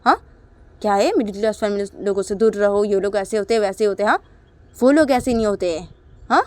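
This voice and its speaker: A woman's voice: medium pitch, a little fast, a little mellow, no room sound, natural voice. A woman voicing an angry, defiant young daughter in an audio drama, arguing indignantly and repeating her phrases insistently. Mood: accusing.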